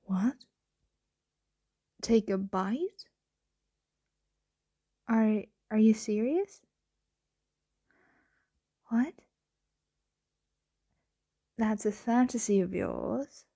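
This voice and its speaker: A woman acting a flirtatious, teasing roommate playing vampire in an intimate ASMR roleplay, speaking softly and often whispering, with hesitant murmurs. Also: medium pitch, big pitch swings, very slow, long pauses, heavily acted. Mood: sultry, surprised. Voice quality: soft, breathy, frequently whispering.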